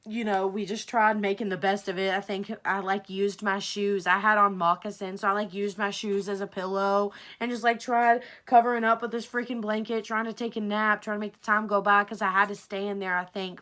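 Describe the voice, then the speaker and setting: A woman's voice: mid-range voice, fairly bright tone. A young adult woman vlogger telling a personal story in a casual, chatty, slangy way, with swearing and acted-out dialogue. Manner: informal slangy talk with frequent swearing, acts out dialogue.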